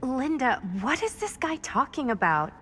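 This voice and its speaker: High-voiced, puzzled young woman. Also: very animated, moderate pace, a bit bright, slight background noise. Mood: confused. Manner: questioning, uncertain. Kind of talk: cinematic video game acting.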